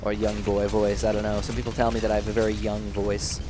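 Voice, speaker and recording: A man's voice: fairly low pitch, fast talker, noisy background. Nasal young man narrating gameplay. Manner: rambling, excitable, thinks aloud. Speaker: gaming channel host. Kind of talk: casual gameplay commentary.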